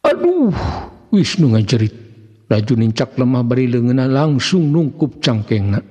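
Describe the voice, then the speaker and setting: A man with a Sundanese accent narrating a folk tale in a theatrical, expressive way, with a husky voice. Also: medium pitch, normal speed. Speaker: storyteller. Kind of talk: dramatic scripted narration of a folk mystery tale. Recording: long hall-like reverb.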